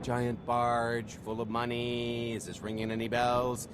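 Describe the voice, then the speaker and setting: An actor playing a smooth-talking roguish con artist in a video game, breezy, wry and sly. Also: male voice, mid-range voice, completely flat delivery, measured pace, background noise, natural voice. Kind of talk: video game cutscene acting.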